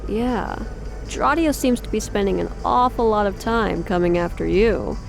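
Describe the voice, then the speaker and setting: An actress in an audio drama playing a tough, sardonic, no-nonsense police officer who speaks curtly and bossily. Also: female voice, medium pitch, normal speed, creaky, soft background music, natural voice. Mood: probing, hard. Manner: curt, sardonic, bossy.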